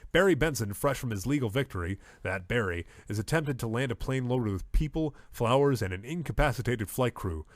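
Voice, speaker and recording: A man's voice: medium pitch, animated, brisk, few pauses, no echo, lightly acted. A man plays a self-important cartoon anchorman. He delivers breaking news formally and with great drama, in a dry studio sound. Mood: dramatic urgency. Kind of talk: parody breaking-news delivery in animated film.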